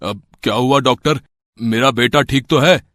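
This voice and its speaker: A man's voice: mid-range voice, moderate pace, fairly bright tone, no room sound, clean studio sound, close to an everyday voice. A man playing a shopkeeper and father with plain, earnest delivery in an amateur moral story. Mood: anxious. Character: greedy shopkeeper turned anxious, pleading father.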